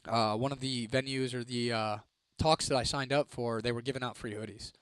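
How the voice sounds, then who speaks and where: A young man from Ohio, chatting casually on a live stream, laid-back and swearing freely, his voice a little hoarse. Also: mid-range voice, fairly even intonation, a little fast, fairly bright tone, dry recording. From Toledo, Ohio, United States. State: voice getting hoarse. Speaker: livestreamer. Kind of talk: casual live-stream chat reading comments.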